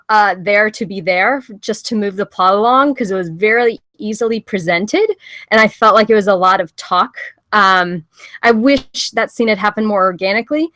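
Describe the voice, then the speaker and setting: A woman's voice: mid-range voice, a bit bright, dry recording. A woman giving casual, candid feedback on a script in an informal, blunt way.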